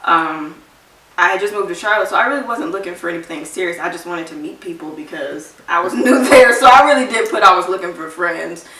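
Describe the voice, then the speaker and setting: A woman in her twenties chatting casually with her partner on a vlog, teasing and quick to laugh. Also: on the low side, distant mic, a crowd in the background. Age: 20s. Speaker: vlog co-host. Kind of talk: casual couple chat. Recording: roomy, mic set back.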